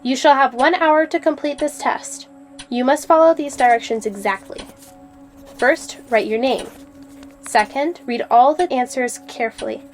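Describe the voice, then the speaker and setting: A woman playing a stern, coldly brisk exam proctor in an audio drama, with a clipped, formal, commanding manner. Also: high voice, faint music behind, natural voice. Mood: brisk, imperious.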